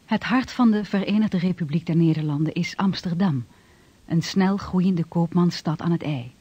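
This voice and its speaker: A man's voice: sits high, moderate pace, mellow, slightly rough, no room sound. A man narrating a historical radio play in a solemn, declamatory manner. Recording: dull vintage radio recording with music.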